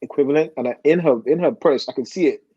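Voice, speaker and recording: A man, telling personal stories casually and haltingly as a guest, often rephrasing as he reflects. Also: on the high side, mellow, husky, no room sound, dull audio. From Nigeria. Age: 40s. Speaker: podcast guest.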